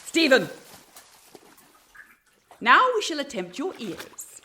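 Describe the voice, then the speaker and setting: A woman's voice: high voice, big pitch swings, slow pace, sharp tone, slight background noise, full character voice. An actress playing a haughty, brittle, respectable 19th-century lady in a period radio drama, speaking precisely and archly. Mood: dry, bitter. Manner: imperious, precise, arch, theatrical.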